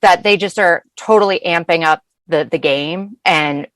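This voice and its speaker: Low-pitched American woman, dry close sound. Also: unhurried, fairly bright tone, no room sound. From Massachusetts, United States. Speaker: podcast guest, food blogger. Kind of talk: casual chat.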